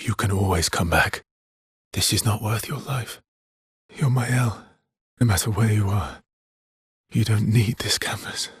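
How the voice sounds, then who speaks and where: An actor playing a weary, gentle, guilt-ridden expedition guide in a dramatic video game, with a husky, breathy, hushed and halting delivery. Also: male voice, on the low side, dry recording, heavily acted. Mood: tired, tender. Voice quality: husky, breathy, often near a whisper.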